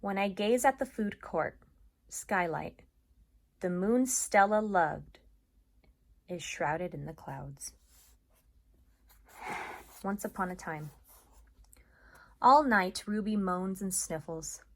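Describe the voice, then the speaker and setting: A woman's voice: mid-range voice, expressive, slow pace, pauses often. A woman doing an informal read-aloud of a children's story.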